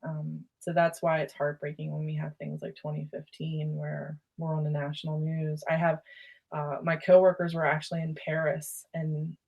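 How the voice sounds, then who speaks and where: Low-voiced Baltimore woman telling stories. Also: moderate pace, vocal fry, rough recording. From Baltimore, USA. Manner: lively storyteller who acts out quoted voices. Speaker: podcast guest. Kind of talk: casual podcast chat.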